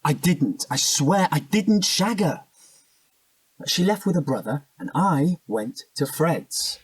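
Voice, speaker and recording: Cheating husband, audio drama: rough-edged, highly animated, defensive and evasive, naturalistic delivery, a little back from the mic. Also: male voice, slow, no echo, recorded from a distance, full character voice. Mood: indignant defensive. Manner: defensive, protesting, stammering when cornered.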